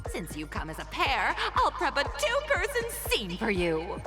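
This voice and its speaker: A woman's voice: high voice, wildly swooping pitch, a little slow, full character voice. An actress voicing a theatrical female judge in a video game, dramatically setting up scenes for performers. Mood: theatrical, encouraging. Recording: music behind the voice.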